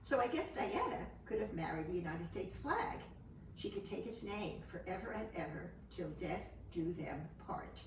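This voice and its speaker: A woman's voice: on the low side, animated, normal speed. A woman poet reciting verse. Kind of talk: poetry recitation. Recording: echoey, noisy, distant mic.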